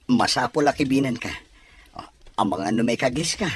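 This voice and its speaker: A Filipino man playing a wealthy, protective young expectant father in an audio drama, with a raspy voice. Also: medium pitch, lively intonation, brisk, a little hiss or hum, close to an everyday voice. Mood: excited, protective.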